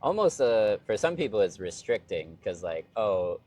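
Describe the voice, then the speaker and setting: A man's voice: on the low side, normal speed. A man being interviewed, talking casually and loosely about his life abroad, with lots of "like" fillers. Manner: relaxed, rambling, heavy use of "like". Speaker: interview guest, expat living in Vietnam. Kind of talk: casual conversation.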